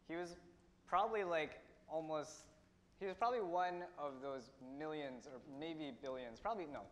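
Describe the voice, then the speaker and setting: A teenage man from India with a slightly high voice tells his story earnestly on a stage. He pauses and restarts now and then. His voice carries a long hall reverb. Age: teens. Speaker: student speaker. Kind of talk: prepared personal talk. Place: auditorium stage.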